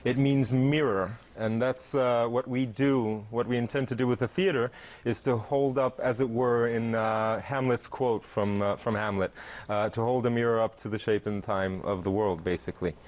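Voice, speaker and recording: A middle-aged man who grew up in Israel, a theater performer answering interview questions, pausing often with fillers. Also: medium pitch, steady tone. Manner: warm, hesitant with many fillers. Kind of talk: TV interview guest.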